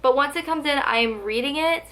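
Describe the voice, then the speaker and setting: A woman's voice: sits high, brisk, a bit bright. A young woman who talks about books to the camera in a gushing, chatty way, full of "like," with her voice slightly going. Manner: gushing, chatty, heavy use of "like". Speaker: book vlogger. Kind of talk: casual book tag vlog.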